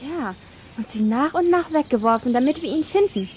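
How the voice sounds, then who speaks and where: A woman's voice: sits high, animated, normal speed, darkish tone, a little hiss or hum. An actress playing a young, eager and anxious girl detective in a children's radio play. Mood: alarmed.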